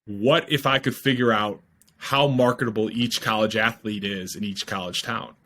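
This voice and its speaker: Guest founder, man in his twenties, low voice, forceful bright delivery, quick and eager on a headset call. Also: fairly even intonation. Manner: fast, eager explaining with many fillers. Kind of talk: casual podcast interview. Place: home room, video call.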